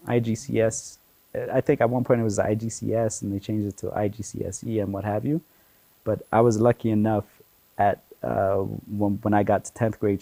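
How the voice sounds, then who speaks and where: A man in his forties from Ethiopia, a guest telling stories about his childhood in a relaxed, chatty way, with lots of "you know" and easy laughter. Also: fairly low pitch, vocal fry, no room sound, poor audio. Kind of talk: relaxed conversational storytelling.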